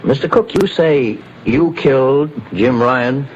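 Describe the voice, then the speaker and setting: An actor playing a calm, authoritative private investigator in a 1940s radio drama. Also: male voice, mid-range voice, close to an everyday voice. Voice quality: measured, authoritative. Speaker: detective lead. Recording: dull lo-fi old radio recording, faint hiss.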